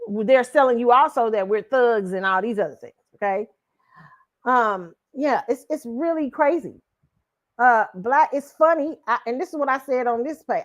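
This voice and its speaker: A woman hosting her own commentary show, reacting to a clip bluntly and emphatically. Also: mid-range voice. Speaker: show host giving commentary.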